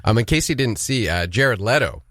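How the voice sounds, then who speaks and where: A man's voice: mid-range voice, animated, moderate pace, sharp tone, no echo, studio quality. A man co-hosting a radio show, chatting casually and teasing in brief interjections. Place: radio studio.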